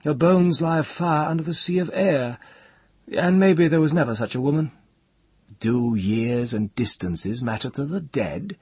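A man voicing a proud, self-assured young sorcerer with gentle mockery in a fantasy audiobook. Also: deep voice, very animated, moderate pace, vocal fry, few pauses, breathy edge, dry recording, full character voice. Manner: soft-spoken, mocking, self-assured. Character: proud, coolly mocking young boy sorcerer. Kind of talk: dramatized audiobook fantasy storytelling.

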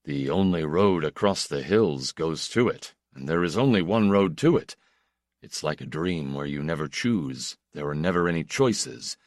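Man reading as a grave, low-voiced older brother. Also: big pitch swings, a little slow, dry recording, clean studio sound, full character voice. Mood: grave, hushed. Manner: grave, diffident, ironic. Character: grave, diffident, watchful older brother, weary of taxes.

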